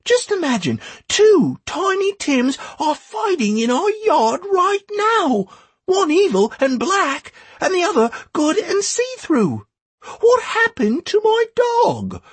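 A man voicing an excited, frightened young boy with an agitated, childlike way of exclaiming, in an audiobook. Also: very high-pitched, takes it slowly, no room sound, pitched above the performer's own voice, full character voice. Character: excited, frightened young boy worried about his dog.

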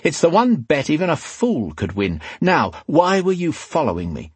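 A man voicing a wry, quietly threatening rogue in an audiobook, unhurried and mock-polite. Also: on the high side, very animated, husky, dry recording, pitched above the performer's own voice, full character voice. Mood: wry, menacing. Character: cool, menacing male rogue with dagger, wryly amused. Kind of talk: dramatic audiobook character voice.

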